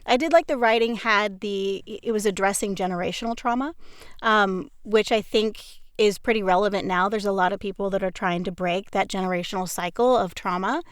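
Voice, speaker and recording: A woman's voice: mid-range voice, fairly quick, smooth, no room sound, clean studio sound. A woman talking casually as a cast member in a group discussion. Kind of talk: casual chat.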